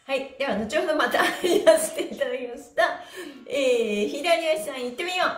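High-pitched cheerful woman leading stretches. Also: lively intonation, a little fast. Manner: cheerful, exclamatory, encouraging, sing-song calls; speaking while exercising. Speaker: stretching instructor, pantomime performer. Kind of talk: live-streamed exercise class with counted chants. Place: small bare-walled indoor studio. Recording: roomy echo with music behind.

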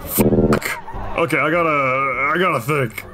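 A man's voice: medium pitch, unhurried, music in the background, crowd noise, close to an everyday voice. A man playing a tipsy young drinker in an audio drama, sweary and repeating himself. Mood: cocky, tipsy. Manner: stammering, repetitive, sweary.

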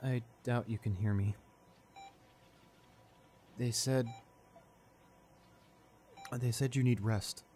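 A man playing a distraught, tender young boyfriend in an intimate audio roleplay, speaking in halting, broken phrases. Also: medium pitch, fairly even intonation, mellow, slight background noise, natural voice. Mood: grieving, guilty.

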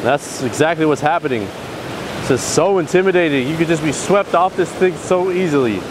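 A man in his thirties vlogging excitedly while fishing from a rocky ocean shore, recorded outdoors on an action camera. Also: fairly high pitch, normal speed. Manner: excited, exclaiming. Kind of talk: outdoor vlog narration. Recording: faint surf and wind noise. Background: waves and wind.

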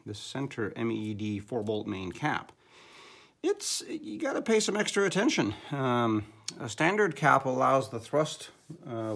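An older man explaining engine assembly step by step in a garage workshop. He sounds practical and instructive, with quick asides as he works. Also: mid-range voice. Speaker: engine builder. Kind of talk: hands-on workshop demonstration.

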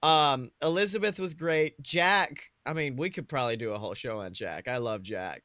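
A man's voice: mid-range voice, normal speed, sharp tone, dry recording, clean studio sound. A young man co-hosting a podcast, chatting casually and comedically with slangy, profane talk. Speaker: podcast co-host.

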